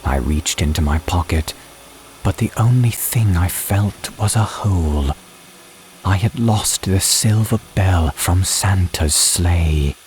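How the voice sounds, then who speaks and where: A man reads a story as a dramatic audiobook narrator. His voice sits low and his delivery is slow and measured. Music runs behind him throughout. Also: a little mellow, a little hiss or hum. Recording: background music under the voice. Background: background music and soundscape.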